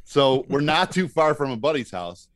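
A man's voice: medium pitch, big pitch swings, normal speed, a bit bright, no echo. A middle-aged man telling stories as a podcast guest in a casual, easygoing, agreeable way. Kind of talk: casual anecdotal chat.

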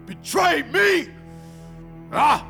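An actor playing an anguished, jealous general in a Shakespeare drama, husky and breathy, veering between hushed muttering and howling. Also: male voice, very high voice, sing-song, extremely slow, faint music behind, heavily acted. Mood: anguished fury. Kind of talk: dramatic stage-style Shakespeare acting.

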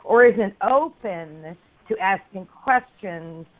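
A woman's voice: mid-range voice, expressive, slow pace. An older woman hosting a radio interview, who speaks in an outspoken, emphatic way. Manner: outspoken and emphatic, with a laughing aside. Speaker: radio host. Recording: phone-like radio line.

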